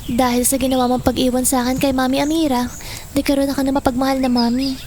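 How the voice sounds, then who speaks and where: A woman's voice: high-pitched, little pitch movement, fast talker, darkish tone, a little hiss or hum, close to an everyday voice. A Filipino woman playing an affectionate, grateful daughter in an audio drama, sweet and polite in manner. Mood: grateful, loving. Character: affectionate, grateful daughter reunited with her mother. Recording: music bed behind the voice.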